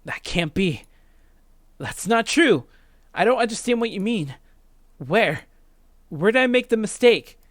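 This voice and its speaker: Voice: mid-range voice, animated, measured pace, long pauses, no echo, studio quality, voice pushed up, natural voice. A let's player voicing a desperate, accusing visual novel student, urgent and pleading. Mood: shocked, confused. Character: desperate, accusing schoolkid Megatron, indignant and confused.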